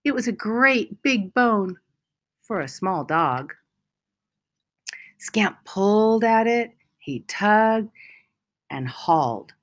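A woman reads a picture book aloud to children in a lively, swooping voice at a slow pace. Her phrasing is deliberate and expressive, leaning on key words, with a mid-range pitch. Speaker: school storyteller reading a picture book aloud. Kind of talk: children's storybook read-aloud.

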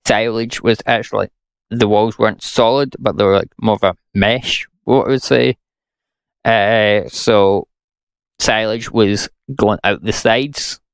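Gravelly young Scottish man ranting. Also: lowish voice, dry recording. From Scotland. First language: English. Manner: blunt, exasperated, sarcastic. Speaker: gaming YouTuber. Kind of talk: ranting gameplay commentary.

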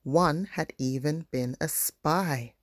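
A woman's voice: sits low, takes it slowly, no room sound, studio quality. A woman reading a children's picture book aloud as scripted narration.

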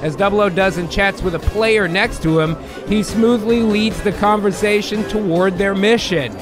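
A man's voice: high-pitched, normal speed, over music. A man hosting a casual comic stream, reading script narration and chatting irreverently into a close microphone. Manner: irreverent, profane. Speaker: host and narrator. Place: home room on a video call.

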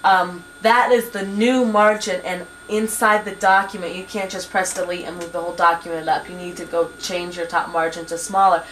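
A young woman patiently teaching word processing at a desk computer, with many pauses and ums, recorded on a 1990s camcorder in a small home office. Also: on the low side, steady tone, fairly bright tone, poor audio. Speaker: computer instructor. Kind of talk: hands-on software tutorial. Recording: 1990s camcorder with faint hiss.

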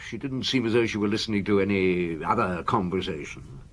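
An actor playing a seasoned chief police inspector in a radio drama, British, husky and tersely probing. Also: male voice, lowish voice, brisk, a bit bright.

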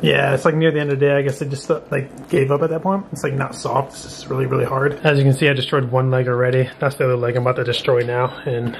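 A man's voice: medium pitch, level tone, fast talker, creaky, hardly any pauses. A man in his twenties chatting casually as he reviews food for a vlog, recorded on a handheld camera. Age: 20s. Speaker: food vlogger. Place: restaurant, home kitchen, car, bedroom. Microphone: camera at arm's length. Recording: noisy handheld camera audio.

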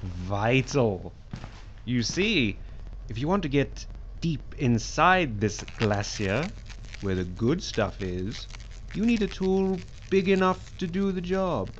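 An actor playing a smarmy, self-satisfied corporate boss with a glib, patronizing manner in an audio drama. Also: male voice, medium pitch, sing-song, slow. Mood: breezy, evasive. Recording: dull, noisy audio.

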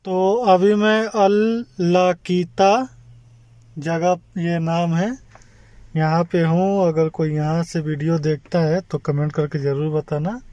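A man's voice: a little high, steady tone, slow, mellow, no echo. A man from India, a house driver, chatting casually to his viewers while driving and running errands. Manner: chatty, addresses viewers directly. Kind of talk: casual vlog narration. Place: in a car and outdoors in a street. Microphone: handheld phone camera. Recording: noisy phone recording.

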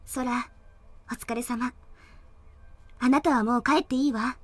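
A woman's voice: fairly high pitch, fairly even intonation, a little slow. A woman playing a self-assured, caring young scientist in a Japanese anime audio drama, with an informal, explanatory manner. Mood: considerate.

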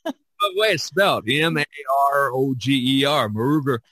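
A man's voice: medium pitch, lively intonation, normal speed, sharp tone, breathy edge, no room sound. A man hosting a podcast in a casual, rambling and jokey way. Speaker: podcast host. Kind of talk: casual chat about painting.

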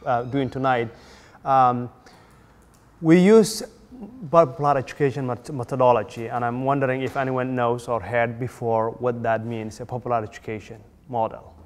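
A man in his forties, from Somalia, facilitating a community workshop in a measured way, with many fillers and restarts. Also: mid-range voice, steady tone, in a large hall. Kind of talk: workshop introduction. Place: community room, indoors.

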